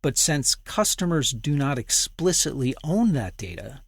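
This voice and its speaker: A man's voice: mid-range voice, big pitch swings, measured pace, breathy edge, no echo. A man hosting a podcast, interviewing a guest and then commenting on his own in a conversational, thinking-aloud way. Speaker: podcast host.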